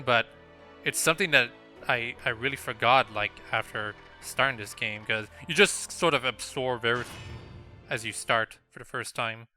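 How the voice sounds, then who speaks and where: A man's voice: mid-range voice, bright, forceful tone. A young man giving casual video game playthrough commentary in a hesitant, rambling way, often correcting himself.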